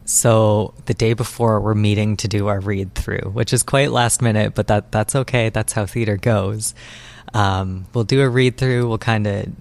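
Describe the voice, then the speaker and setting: Young male student interviewee, fairly low voice, relaxed informal chat style. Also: moderate pace, creaky. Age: young adult. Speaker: student interviewee, stage manager and director. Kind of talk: casual interview answers.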